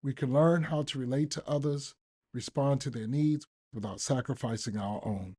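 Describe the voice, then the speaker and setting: A man reading a scripted daily reflection in a measured, deliberate manner with pauses between phrases. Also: medium pitch, mellow, husky. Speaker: podcast reader, recovering addict.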